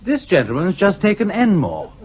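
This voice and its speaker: A friendly pub landlord in a radio comedy. His voice is slightly high and hugely varied in pitch. He chats like a village local behind the bar. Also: huge pitch swings, moderate pace, a little hiss or hum. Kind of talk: radio comedy drama acting.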